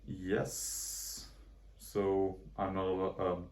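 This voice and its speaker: A man of thirty giving brief, halting answers in an interview. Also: low voice, monotone, a little mellow.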